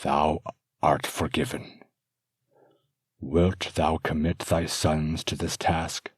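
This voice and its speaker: A man voicing a solemn old master who speaks slowly in an archaic way, with a very deep, husky voice. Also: flat delivery, no room sound, clean studio sound, full character voice. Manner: slow, solemn, archaic speech.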